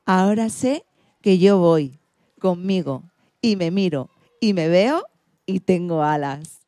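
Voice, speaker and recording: A woman's voice: fairly low pitch, animated, slow pace, mellow. A woman playing an earnest, dreamy schoolgirl in a radio play of a children's storybook. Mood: self-assured.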